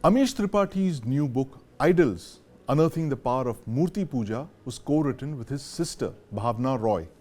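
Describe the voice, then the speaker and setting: A man's voice: mid-range voice, animated, normal speed, few pauses, darkish tone. A man with an Indian accent presenting a news show in a scripted style and putting questions to guests.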